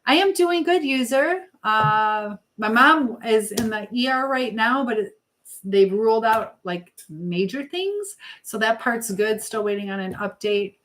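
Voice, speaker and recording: A woman's voice: a little high, expressive, a little slow, a bit bright, slight background noise, low-quality recording. An American woman chatting casually with livestream viewers from her home kitchen, reading out and answering their comments as she cooks. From United States. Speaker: livestream host. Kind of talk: casual live chat with viewers while cooking.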